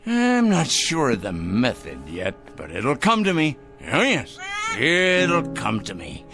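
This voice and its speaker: A petulant, sanctimonious fanatic in a radio drama. He rants and mutters suspiciously, his pitch lurching up and down as he drags out words slowly. Music sits behind him. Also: huge pitch swings, exaggerated voice. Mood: fanatical scheming. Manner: ranting, drawn-out emphasis, muttering to himself. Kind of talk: theatrical radio drama acting.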